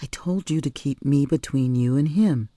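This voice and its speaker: A woman's voice: rumbling low, moderate pace, warm tone, dry recording, studio quality. A woman voicing a tough, protective female cat burglar with a curt, stern manner, in an audiobook story.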